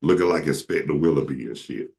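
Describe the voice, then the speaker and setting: A man with a deep, carrying voice telling casual, joking stories to friends as a talk show host, slangy and quoting people as he goes. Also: a little fast, vocal fry, darkish tone. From southern United States. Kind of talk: casual comic storytelling with friends.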